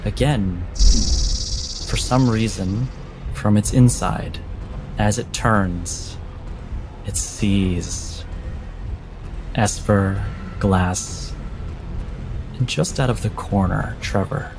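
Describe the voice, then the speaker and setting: A low male voice, very unhurried, with music playing clearly behind it. He is a game master narrating horror in a deliberate, suspenseful way, breaking sentences into short dramatic pieces. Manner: deliberate, suspenseful, with broken phrasing.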